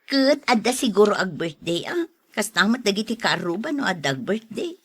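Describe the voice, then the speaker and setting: A woman's voice: mid-range voice, very animated, a little fast, breathy edge. A woman playing a sociable neighbor in an Ilocano audio drama, chatty and good-humored, with an Ilocano accent. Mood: gentle persuading.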